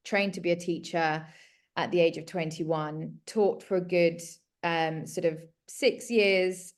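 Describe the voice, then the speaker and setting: A woman in her thirties from South Africa, a guest talking casually and earnestly about her work and her story. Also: lowish voice. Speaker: guest, home organiser. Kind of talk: casual conversation.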